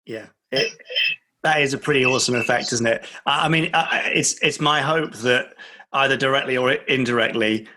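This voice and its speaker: A man's voice: mid-range voice, normal speed, pushed and bright, low-quality recording. A man hosting a casual interview, speaking in a polite, thoughtful way. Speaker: podcast host.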